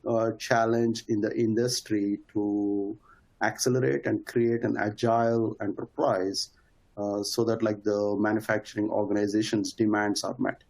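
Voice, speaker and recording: Low-voiced middle-aged man presenting, halting delivery. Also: little pitch movement, vocal fry, a little mellow. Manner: halting, peppered with "like" and "right?". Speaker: guest speaker, industry evangelist. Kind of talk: webinar presentation.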